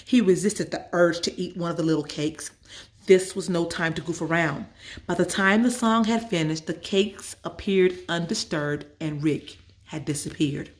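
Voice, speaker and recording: A woman's voice: on the low side, normal speed. A woman storyteller narrating a children's story from a script.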